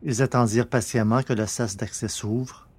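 A man narrating a science fiction story from a script in a measured, literary manner. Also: mid-range voice, fairly even intonation, background noise.

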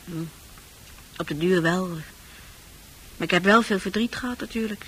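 A woman's voice: medium pitch, moderate pace, fairly bright tone, breathy edge, low-quality recording. An actress in a Dutch radio play, playing a worldly, coaxing woman of easy virtue with a chatty, knowing manner. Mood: consoling. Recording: radio play with music behind.

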